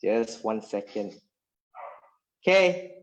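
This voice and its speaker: A man's voice: fairly low pitch, animated, slow pace, slight background noise. A man with a Malaysian accent hosting a casual live stream, chatting and code-switching, repeating himself as he waits for viewers.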